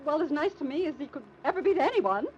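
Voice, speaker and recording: Proud small-town widow, American accent, high pitch, swooping melodramatic delivery, radio drama, dull noisy recording. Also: female voice, animated, fast, a little mellow. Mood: alarmed, pleading. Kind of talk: old-time radio drama acting.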